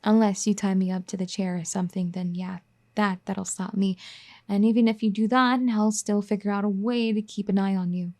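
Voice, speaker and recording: A woman voicing a caring, firm young wife in an ASMR roleplay, soft-spoken and gently scolding. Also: mid-range voice, fairly even intonation, brisk, lightly acted.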